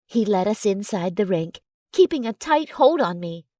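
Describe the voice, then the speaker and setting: A woman narrating a first-person romance story in an expressive, dramatic storytelling way. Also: medium pitch, moderate pace, no echo, clean studio sound. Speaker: audiobook narrator.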